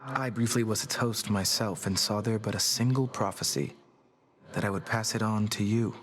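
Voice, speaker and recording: A man's voice: on the low side, normal speed, a bit bright, close to an everyday voice. A man playing a courteous, deferential attendant in a video game, speaking formally and carefully. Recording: long reverberant hall effect.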